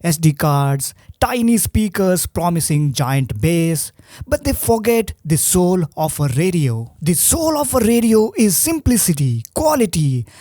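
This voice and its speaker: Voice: medium pitch, dark tone, no room sound, studio quality. A presenter narrating a scripted video review, with a wry, rhetorical manner.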